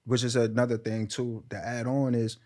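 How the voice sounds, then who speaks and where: A man's voice: medium pitch, level tone, normal speed, mellow. A young man from Brooklyn, a podcast guest, chatting candidly and casually with slang and fillers. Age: young adult. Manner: laid-back, candid, lots of fillers and slang. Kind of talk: casual chat.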